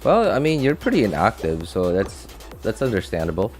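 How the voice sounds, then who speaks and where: A low-voiced man talks informally on a live game stream with music playing behind him. He thinks aloud, circles back over his phrases and gives casual advice to viewers. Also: sing-song, brisk.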